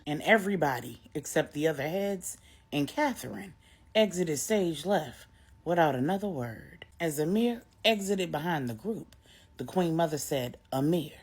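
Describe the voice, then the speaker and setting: A woman narrating a dramatic story for adults in a wry, deliberate, emphatic way. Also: fairly low pitch, husky.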